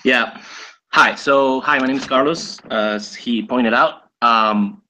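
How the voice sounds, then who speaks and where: A man's voice: medium pitch, slow pace, pushed and bright, slight background noise, low-quality recording. A man in his twenties from Venezuela, a guest on an interview podcast, explaining at length with frequent fillers and repeated words. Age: 20s. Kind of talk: casual interview.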